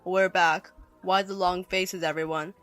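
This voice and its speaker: A woman voicing a callous, defensive older husband with a gruff, dismissive manner in an audio story. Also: fairly low pitch, level tone, unhurried, a bit bright, faint music behind, pitched below the performer's own voice. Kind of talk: audio story character acting.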